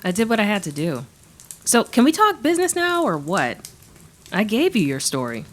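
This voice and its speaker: A woman's voice: medium pitch, huge pitch swings, normal speed. A woman playing a brash, wisecracking adventurer in an audio drama, with sassy, teasing showmanship. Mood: exasperated, blunt. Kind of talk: audio drama acting, conversational.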